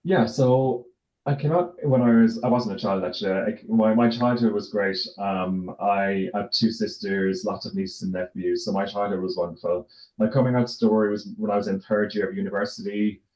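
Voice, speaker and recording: A man's voice: on the low side, steady tone, moderate pace, a little mellow. A middle-aged man with an Irish accent, answering casually as an interview guest. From Ireland, raised in County Tipperary. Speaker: interview guest, tennis tournament director. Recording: roomy echo, dull lo-fi sound.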